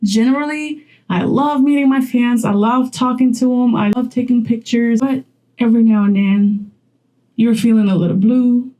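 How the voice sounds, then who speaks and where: Mellow-voiced woman talking to camera. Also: on the high side, fairly even intonation, normal speed, rough recording. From Poland. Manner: chatty, laughs often. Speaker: content creator. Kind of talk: direct-to-camera vlog advice.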